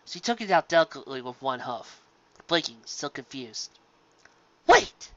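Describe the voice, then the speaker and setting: Fan-fiction narrator, bright, forward tone, scripted storytelling, somewhat muffled lo-fi home recording. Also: mid-range voice, expressive, moderate pace.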